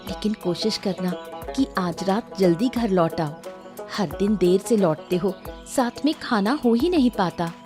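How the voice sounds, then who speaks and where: A woman acts out a young village wife and mother in an animated tale. Her mid-range voice is highly melodic, caring with a gentle chiding streak. Music plays clearly behind her. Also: a little slow, close to an everyday voice. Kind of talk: animated moral story acting.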